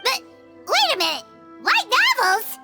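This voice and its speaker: A woman voicing a tiny, excitable, childlike female fairy companion in a video game, blunt and exclamatory. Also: a little slow, vocal fry, exaggerated voice. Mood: startled, accusing. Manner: squeaky, exclamatory, childlike, refers to self by name. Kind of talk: video game dialogue acting. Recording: studio voice over prominent tinkling music.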